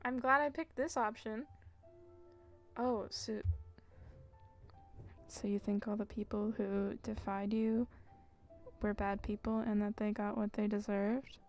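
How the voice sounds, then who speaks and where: A woman's voice: mid-range voice, steady tone, slow pace, pauses often, darkish tone, soft background music. A young woman streaming a video game, talking in a casual, sarcastic, blunt and giggly way. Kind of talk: casual live gameplay commentary.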